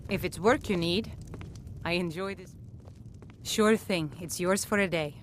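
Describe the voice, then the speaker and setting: Actress as businesslike, welcoming game innkeeper, lowish pitch, noisy ambience. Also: female voice, a little slow, close to an everyday voice. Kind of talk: video game voice acting.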